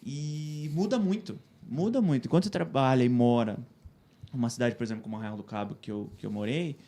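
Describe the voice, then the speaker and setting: A Brazilian man with a Brazilian Portuguese accent, telling an animated story in a casual chat. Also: medium pitch, a little fast. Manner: animated storyteller, imitates others. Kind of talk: casual anecdote.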